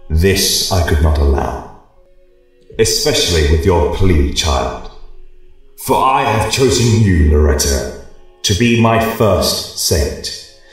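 A man voicing a solemn, commanding god of light in grand, declamatory fantasy storytelling, with heavy reverb on the voice. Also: deep voice. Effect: heavy echo reverb. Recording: heavily echoing, distant voice. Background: choral music.

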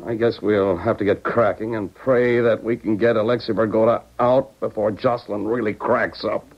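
An actor playing a brusque, skeptical intelligence handler, blunt and pressing, in a 1970s radio drama. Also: male voice, on the low side, steady tone, normal speed, gravelly. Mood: skeptical, stern. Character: brusque, skeptical male handler named Nick. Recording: muffled 1970s radio recording.

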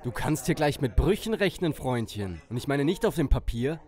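A man's voice: mid-range voice, very animated, normal speed, a little mellow, lightly acted. A man playing a bossy, aggressive, smug teenage leader in a parody audio play. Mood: threatening.

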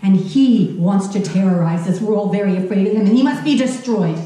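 A middle-aged woman playing a kindly, excitable Japanese grandmother, telling a children's story theatrically and addressing the audience. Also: mid-range voice, a little fast, voice pushed down, lightly acted. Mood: urgent, rallying. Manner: exclamatory, animated, addressing the audience directly. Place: living room at home. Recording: very echoey room, faint music.

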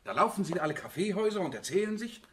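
An actor playing a gruff, protective middle-aged merchant father in a radio drama, given to grumbling. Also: high voice, very animated, rapid-fire, a little hiss or hum. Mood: gruff, scolding. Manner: scolding, grumbling. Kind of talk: radio drama acting in German.

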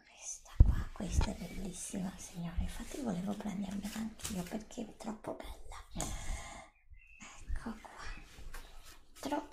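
A woman's voice: medium pitch, unhurried, gravelly, roomy sound. Lady doing asmr shop assistant roleplay, whispery and crackly, super polite n reassuring. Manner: polite, attentive, reassuring, formally addressing a customer.

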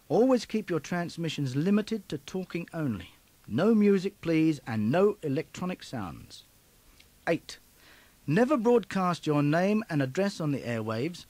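A man delivers scripted instructional narration in a fairly high voice with lively, swooping intonation. He is emphatic and instructive, recorded very dry and close. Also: no echo.

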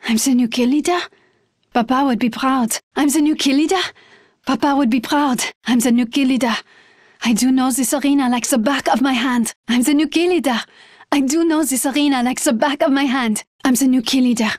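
A sweet, spirited young woman from a video game with a French accent. Her voice is high and closely recorded. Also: dry recording, clean studio sound.

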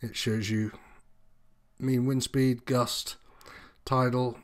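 A man's voice: mid-range voice, little pitch movement, slow pace. A man giving an informal, unscripted walkthrough as an instructor, explaining things in a slightly hesitant, meandering way. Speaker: sailing instructor presenting tutorial.

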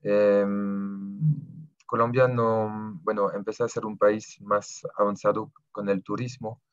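A man's voice: fairly low pitch, flat delivery, smooth, no room sound. A young man from southern France, a native French speaker, answering interview questions in a hesitant way and often stopping to find words, heard over a video call. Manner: halting, searching for words with many pauses. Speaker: interviewee, Spanish learner. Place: small room at home. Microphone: laptop webcam on a video call. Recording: dull lo-fi video call audio.

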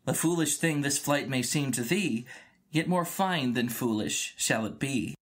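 A man's voice: mid-range voice, lively intonation, measured pace. A man performs a small robot with a bold, scheming streak. The voice sits in a middle register and declaims grandly, in the manner of a Shakespearean audio drama. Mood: resolute, scheming. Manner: spoken beeps in character, grand in soliloquy. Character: small droid, chirping beeps, secretly bold schemer. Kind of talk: amateur Shakespearean dramatic reading.

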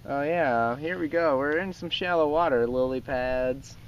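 A man's voice: on the high side, a little slow, highs cut off. A young man giving casual, informal running commentary to viewers while filming outdoors from a canoe. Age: young adult. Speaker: vlogger filming a canoe trip. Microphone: handheld camera mic. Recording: noisy outdoor handheld camera recording.

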